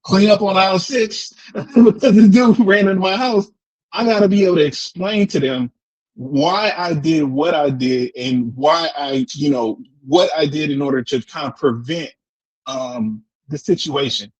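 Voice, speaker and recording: Man, American accent, slightly high pitch, dry close sound, animated explainer, conversational debate, repeated words. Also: unhurried, dry recording, muffled recording. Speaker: discussion participant answering questions. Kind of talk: casual debate.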